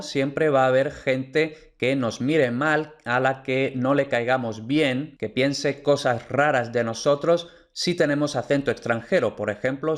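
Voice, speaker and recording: A man teaching pronunciation in an online course, speaking clearly and restating each point. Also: medium pitch. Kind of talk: online course lecture over slides.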